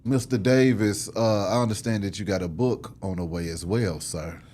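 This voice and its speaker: Low-pitched American man hosting a casual interview. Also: moderate pace, a little mellow, a little hiss or hum. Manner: affirming, slangy responses. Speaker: interview host.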